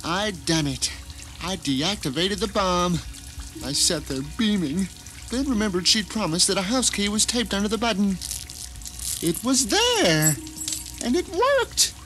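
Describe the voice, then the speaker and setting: A man's voice: sits high, low-quality recording. An actor playing a bitter, deluded blind man who tells his story in a theatrical, self-righteous audio drama monologue.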